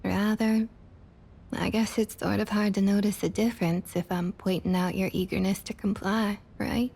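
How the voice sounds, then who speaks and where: A woman playing a domineering, patronizing vampire councilwoman with a Southern US accent and a husky, breathy voice, in an ASMR roleplay. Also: mid-range voice, steady tone, a little mellow. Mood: amused, condescending. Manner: condescending, drawling pet names, slow and deliberate.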